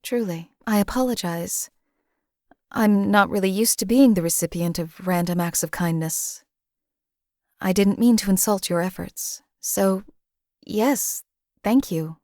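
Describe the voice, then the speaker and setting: A woman voicing a cold, detached female assassin in a game, speaking in a measured, formal, matter-of-fact way. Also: mid-range voice, darkish tone, no room sound, studio quality, close to an everyday voice. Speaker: game companion character. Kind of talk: voiced game companion lines.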